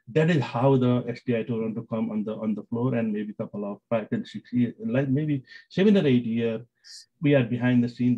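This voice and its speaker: A man with a Nepali accent answering interview questions in halting English, full of fillers and restarts. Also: medium pitch, warm tone, slightly rough. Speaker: business executive guest. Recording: dull lo-fi sound.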